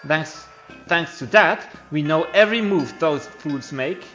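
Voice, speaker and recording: A man's voice: a little high, animated, a little slow, a bit bright. A man voicing a smug, taunting villain creature with a folksy drawl in a game story, with music behind him. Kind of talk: voiced video game story playthrough.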